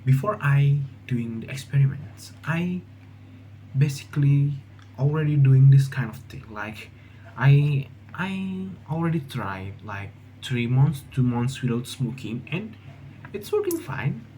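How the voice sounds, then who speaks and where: A young man from Indonesia with an Indonesian accent, speaking English as a second language in a casual, halting monologue full of fillers and restarts. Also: medium pitch, sing-song, dark tone, a little hiss or hum. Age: young adult. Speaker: vlogger.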